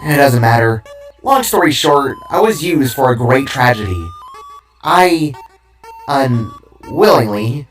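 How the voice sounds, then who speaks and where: A man performs a tiny magical cube from an audio story, talkative but weary. It speaks haltingly and evasively, weighed down by sorrow. Music plays underneath. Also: mid-range voice, expressive, distant mic, voice pushed up. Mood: uneasy, sorrowful. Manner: talkative, hesitant, fumbling for words.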